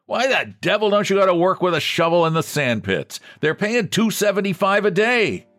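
Man performing gruff jail keeper, impatient, scolding, brusque, raised pitch, literary audiobook character. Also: big pitch swings, normal speed, fairly bright tone, no echo, faint music behind. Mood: scornful.

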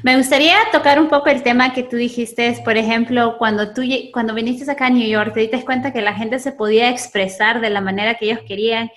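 A woman's voice: on the high side, fairly even intonation, fairly quick, hardly any pauses, fairly bright tone. A woman from Peru with a Peruvian accent, hosting a casual conversational interview. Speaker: interviewer, host.

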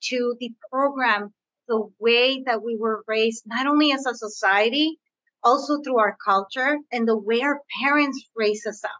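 A woman's voice: fairly high pitch, pushed and bright, dry recording. Woman, Spanish accent, raised on the border, guest coach, earnest, repeats words, dull lo-fi sound. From US-Mexico border region. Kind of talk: interview answer.

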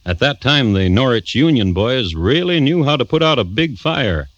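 An actor playing a wry, macabre crime-history host, narrating a 1950s radio drama deliberately and deadpan, with dramatic pauses. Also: male voice, mid-range voice, dry recording, close to an everyday voice. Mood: dry, macabre. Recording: dull, dry vintage radio recording.

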